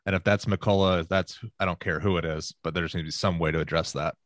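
Very deep-voiced man, measured. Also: fairly even intonation, a bit bright, no room sound, studio quality. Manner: measured, lays out points in order. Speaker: podcast co-host and producer. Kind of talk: casual sports chat.

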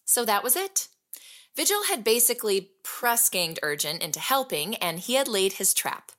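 A woman's voice: mid-range voice, very animated, normal speed, a bit bright. A woman playing an investigative podcast reporter in an audio drama, giving composed scripted narration. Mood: composed, suspenseful. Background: music.

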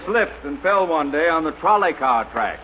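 Man acting an irritable passenger, somewhat high voice. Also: expressive, normal speed, over a noisy crowd, close to an everyday voice. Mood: one-upping, doleful. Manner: exasperated, one-upping. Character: irritable, impatient male cab passenger. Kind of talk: 1940s radio situation comedy acting. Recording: noisy dull 1940s radio recording.

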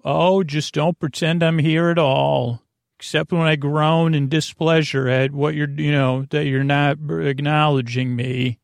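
A fussy old grandmother, put on by a man, pitched up and creaky. She is passive-aggressive and self-effacing, guilt-tripping as in a bedtime story. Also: steady tone, measured pace, dry recording.

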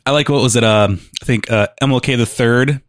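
A man co-hosting a casual podcast, chatting loosely and laughing often while he restarts his thoughts. His voice sits at an ordinary pitch. The recording is close and dry. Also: brisk, no echo. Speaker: podcast co-host. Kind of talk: casual chat.

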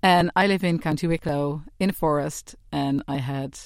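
A woman's voice: low-pitched, moderate pace, no echo, studio quality. A woman from the Netherlands with a Dutch accent, a forest bathing guide telling her story as a podcast guest, over crackly mic static.

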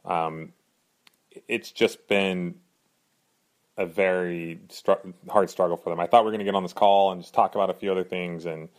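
A man hosting his own podcast in earnest, rambling casual talk, a little hoarse from a cold. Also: deep voice, fairly even intonation, moderate pace. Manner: earnest, rambling, frequent fillers and restarts. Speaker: podcast host.

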